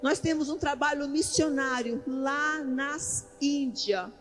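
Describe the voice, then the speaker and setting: A Brazilian woman preacher with a very high, forceful voice delivers an impassioned sermon. She speaks at an unhurried pace in plain colloquial language, driving points home with repetition. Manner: emphatic, with rhetorical questions and repetition; colloquial, ungrammatical everyday speech. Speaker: pastor preaching at a women's church conference. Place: large indoor church event hall. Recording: echoey large hall PA, faint music.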